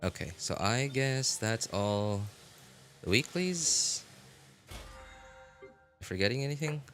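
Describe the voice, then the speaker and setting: A man streaming a game live, chatting casually and haltingly as he thinks aloud. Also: mid-range voice, lively intonation, a bit bright. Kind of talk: casual rambling livestream chat. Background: music.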